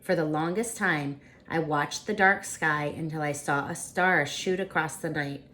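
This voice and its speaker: Female storyteller, lower-pitched, expressive read-aloud of a picture book for children. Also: unhurried. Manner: expressive, dramatic.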